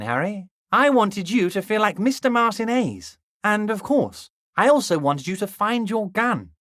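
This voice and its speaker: A man voicing a scheming middle-aged union boss in a video game, who keeps things folksy and wheedling while a threat sits under the friendliness. His voice swoops and bounces with lively pitch. The sound is dry and close, with no room around it. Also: dry recording, exaggerated voice. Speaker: game character voice.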